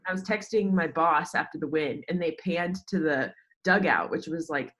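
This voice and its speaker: Lower-pitched chatty young American woman. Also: normal speed, fairly bright tone. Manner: informal, chatty, heavy use of "like". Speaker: podcast guest, sports social media worker. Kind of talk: casual interview chat.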